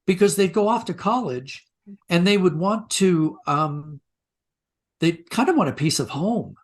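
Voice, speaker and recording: A man with a moderately high-pitched voice speaks as an interviewed writer. He is thoughtful and discursive, telling stories conversationally and often restarting a sentence to reword it. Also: lively intonation, a little slow. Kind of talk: conversational interview, reflective storytelling.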